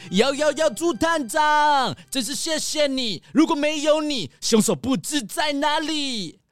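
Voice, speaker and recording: A man's voice: very high-pitched, expressive, measured pace, a bit bright, no echo, soft background music, heavily acted. An actor playing an effusive, theatrical male animal character in a children's audio drama. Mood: grateful.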